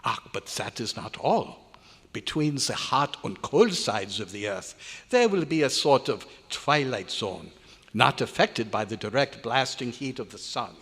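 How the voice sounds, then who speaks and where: An actor playing a grumbling old research scientist with odd foreign-style phrasing in a live recreation of an old radio drama. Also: male voice, mid-range voice, very animated, a little slow, fairly bright tone, slightly rough, full character voice. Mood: grave lecturing. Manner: grumbling, exasperated, inverted foreign-sounding phrasing. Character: cantankerous old male research scientist, grumbling yet fond. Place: large hotel meeting room at a convention. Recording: echoey hall, live stage performance.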